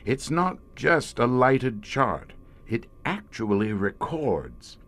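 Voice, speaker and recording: An actor playing a middle-aged police inspector, a former professor haunted by a secret horror, brooding and theatrical in an old-time radio horror drama. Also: male voice, mid-range voice, big pitch swings, slow, a bit bright, full character voice. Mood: explaining, wry.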